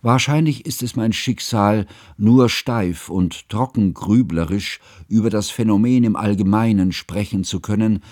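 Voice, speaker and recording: An actor playing a stiff, pedantic, brooding scholar who narrates in a dry, formal, ponderous way in a radio play. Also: male voice, lowish voice, a little slow, hardly any pauses, darkish tone, dry recording. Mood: grave, brooding.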